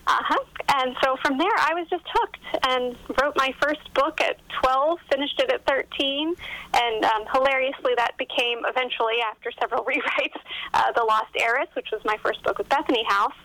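A woman's voice: high voice, normal speed, pushed and bright, dry recording. A middle-aged woman from West Virginia chatting casually as an interview guest, with a telephone-like sound. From West Virginia, United States. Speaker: guest, novelist.

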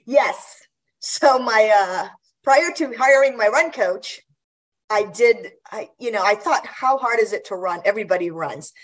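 A chatty woman in her fifties, from Guam, telling stories in a relaxed interview. She laughs easily and often stops to restart her sentences, in a slightly high voice. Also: a little slow, rough recording. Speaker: guest athlete. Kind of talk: casual interview chat.

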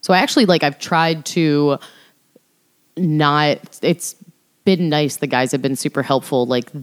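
A woman's voice: low-pitched, steady tone, a little slow. A young woman, a band vocalist, talking casually as a podcast guest, loose and chatty with lots of fillers. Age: young adult. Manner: chatty, filler-heavy, laughs often.

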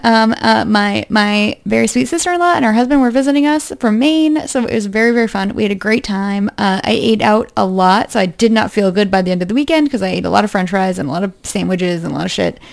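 A young woman co-hosting a podcast in casual chat, upbeat and quick to laugh. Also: medium pitch, creaky. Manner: giggly, upbeat, talks in quick bursts. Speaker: podcast co-host.

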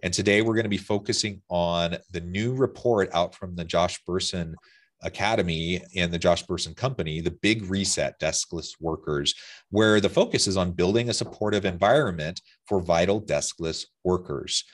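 A man's voice: deep voice, normal speed. A man hosting a podcast interview, upbeat and formal as he introduces his guest. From Utah. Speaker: podcast host.

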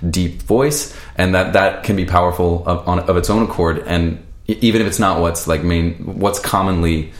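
A young man, a singer, talking loudly and easily in a casual interview, laughing often. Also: steady tone, moderate pace. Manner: loud, booming and laughs easily. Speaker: singer guest. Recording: echoey room.

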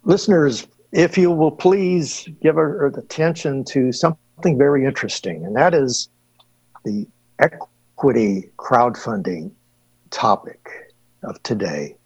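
A man hosting an interview show, introducing his guest in a formal, deliberate way with many uhs. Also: mid-range voice, animated, breathy edge, no room sound. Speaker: radio show host. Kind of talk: hosted interview. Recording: dull, dry, lo-fi audio.

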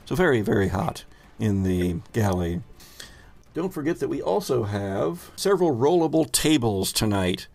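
A man's voice: medium pitch, big pitch swings, moderate pace, gravelly, darkish tone. A man hosting as game master, narrating a tabletop game casually with a playful, hesitant delivery.

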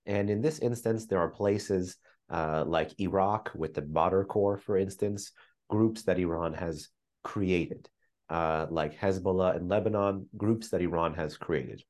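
A man with a very deep voice gives long analytical answers in an interview. He speaks in a measured way, stacking phrases into lists and pausing on "uh" fillers. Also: darkish tone. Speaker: think tank analyst guest. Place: remote, joining from another city.

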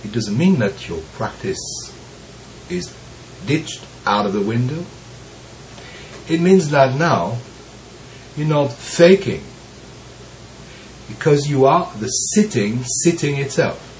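A man who is recovering from bronchitis, so his voice sounds deeper than usual, gives a slow, reflective teaching talk with frequent pauses and the occasional soft laugh. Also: lively intonation, slight background noise, low-quality recording. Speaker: Zen teacher.

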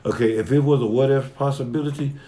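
A man with a deep voice talking emphatically in a heated personal conversation. He swears freely, rambles, and slips into a preaching tone. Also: fairly even intonation, fast talker, mellow.

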